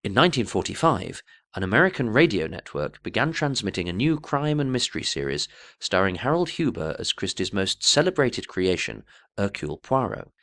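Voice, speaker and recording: A man narrates a scripted documentary piece. His voice is very deep, with lively rises and falls. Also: fairly quick.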